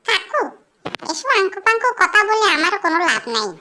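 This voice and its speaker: Squeaky, whiny little cartoon child mouse. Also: big pitch swings, brisk, bright, forceful tone, a little hiss or hum, heavily acted. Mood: indignant. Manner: whining, pleading, childlike. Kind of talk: cartoon dub.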